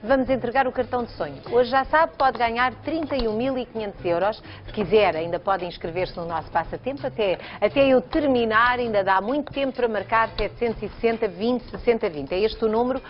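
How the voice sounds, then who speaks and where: A woman's voice: on the high side, brisk, few pauses, slight background noise. A woman in her thirties with a European Portuguese accent, hosting a live TV cooking segment and chatting teasingly with her guest. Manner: chatty, teasing, plugging a phone contest. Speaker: TV show host. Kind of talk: live daytime TV cooking chat. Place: bright TV studio kitchen set. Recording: dull broadcast sound with music.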